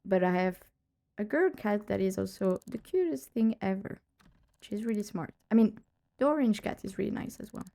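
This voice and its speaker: Woman with a cold, gravelly and mellow. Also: medium pitch, animated, normal speed. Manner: chatty, rambling, laughs often. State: has a cold, voice lowered. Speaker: streamer playing a detective game. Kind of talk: casual live-stream chat.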